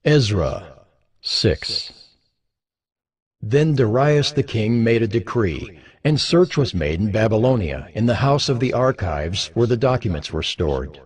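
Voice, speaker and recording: A man narrating a dramatized Bible in a measured, formal storytelling style. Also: fairly low pitch, sing-song, slightly rough, no room sound. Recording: music bed under the voice.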